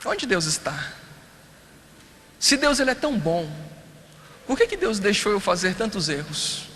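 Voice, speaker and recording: A man preaching as a pastor, shifting between forceful appeals and hushed storytelling. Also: sits high, big pitch swings, measured pace, a little hiss or hum. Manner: preaching with rising and falling intensity, drops to hushed storytelling. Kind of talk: sermon. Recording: reverberant hall, faint ambience.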